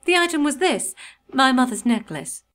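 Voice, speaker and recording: A woman's voice: high-pitched, very animated, moderate pace, fairly bright tone. A woman playing a vain, wealthy lady pony with an affected, refined and theatrical manner in a cartoon drama. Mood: genteel, smug. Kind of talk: amateur cartoon audio drama acting.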